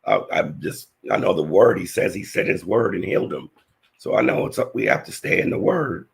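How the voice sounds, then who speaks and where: A man with a hoarse, nearly lost voice, slowly reading Bible verses and teaching a scripture lesson with halting restarts. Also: sits low, lively intonation. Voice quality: raspy, gravelly.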